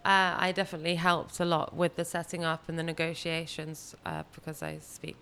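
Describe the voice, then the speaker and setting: A woman's voice: sits low, brisk, a bit bright, clean tone. An English woman in her late twenties chatting casually as an interview guest, in a chatty, self-deprecating way. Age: late 20s. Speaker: podcast guest who runs a cafe and helps run a gym. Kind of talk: casual chat. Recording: faint background noise.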